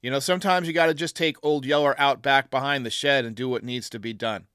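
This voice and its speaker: A man's voice: medium pitch, steady tone, fast, fairly bright tone, clean tone, no room sound, clean studio sound. A man hosting a baseball show, giving commentary in response to listeners' voicemails. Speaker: sports show host.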